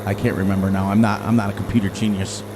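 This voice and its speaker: Low-voiced middle-aged man over engine noise. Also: flat delivery, quick pace. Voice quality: loud voice. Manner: rambling, self-correcting, thinking aloud. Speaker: gaming channel host. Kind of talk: casual gameplay commentary. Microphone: desk microphone turned down low. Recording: game engine noise behind.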